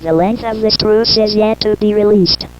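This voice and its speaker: A woman's voice: medium pitch, fairly even intonation, moderate pace. A synthetic female voice reading a formal, scripted breaking news bulletin as an announcer. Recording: muffled lo-fi broadcast with hum and noise.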